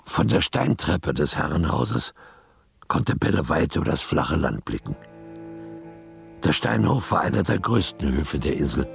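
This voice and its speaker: Very deep, creaky male narrator. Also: big pitch swings, soft background music. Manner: measured storytelling. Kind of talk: scripted radio drama narration.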